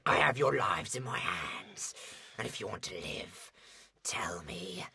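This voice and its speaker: A man playing a menacing, mocking orc war leader with a gravelly, breathy voice in an amateur fantasy audio play. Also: low-pitched, animated, pushed and bright, whispered, exaggerated voice. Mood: mocking menace. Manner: taunting, mocking, drawn-out hissed words.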